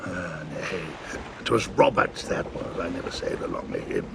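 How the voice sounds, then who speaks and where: An actor playing a sly old pirate ringleader in an audio adventure, theatrical and coaxing, often dropping into a breathy conspiratorial growl. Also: male voice, sits low, expressive, moderate pace. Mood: boastful. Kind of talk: dramatised audio adventure acting. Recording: noticeable background noise.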